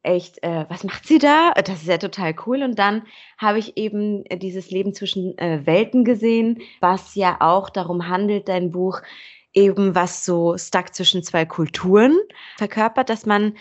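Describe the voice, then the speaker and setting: A woman hosting a podcast chats in a lively, enthusiastic manner, often pausing on little fillers. Her voice comes through a slightly dull, lo-fi recording. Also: medium pitch. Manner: warm, enthusiastic, chatty with fillers. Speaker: podcast host. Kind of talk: casual interview chat.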